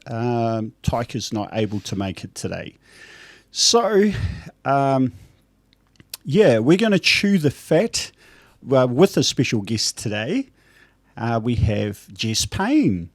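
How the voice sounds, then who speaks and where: Easygoing male podcast host, unhurried delivery with an animated pitch. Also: no room sound, clean studio sound. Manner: relaxed, unhurried, mixes in Māori greetings. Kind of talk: casual interview.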